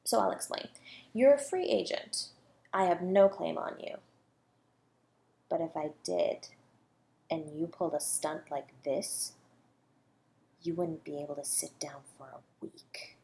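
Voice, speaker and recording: A woman's voice: low voice, vocal fry, dark tone, a little hiss or hum. Woman acting a coy, condescending female murder suspect. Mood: amused, flirty. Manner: teasing, condescending, composed, deliberate. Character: coy, self-assured female academic, a murder suspect toying with detective. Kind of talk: flirty audio roleplay, one-sided dialogue.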